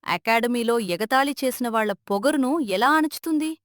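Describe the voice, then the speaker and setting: Lady narrator for animated story, high pitched, dramatic, dry recording. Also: normal speed, no room sound. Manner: dramatic, expressive.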